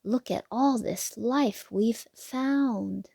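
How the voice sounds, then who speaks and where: Slow-paced woman reading a children's storybook. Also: on the high side, creaky, warm tone, breathy edge, no room sound. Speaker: read-aloud narrator for children. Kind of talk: children's picture book read-aloud.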